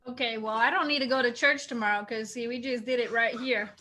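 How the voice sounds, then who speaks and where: Bright-voiced enthusiastic woman host. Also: fairly high pitch, steady tone, a little fast. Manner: enthusiastic, joking. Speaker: event co-host. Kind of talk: upbeat casual hosting. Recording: clearly noisy online call.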